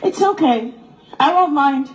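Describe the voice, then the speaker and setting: A woman's voice: sits high, expressive, a little slow, low-quality recording. A young woman student giving a public talk to a live audience, rhetorical and repeating phrases for emphasis while quizzing the crowd. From Somali heritage, raised outside Somalia. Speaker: student speaker.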